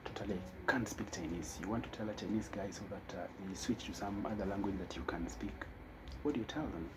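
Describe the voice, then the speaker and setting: A man teaching a casual spoken lesson, talking slowly and thinking aloud with fillers. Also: fairly low pitch, very noisy. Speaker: language teacher.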